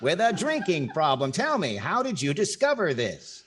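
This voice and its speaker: Man playing a lively, wry radio comedy host. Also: fairly high pitch, normal speed, crowd noise. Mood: wry, playful. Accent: American. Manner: wry, wordy, playfully pompous. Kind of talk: 1940s radio comedy acting.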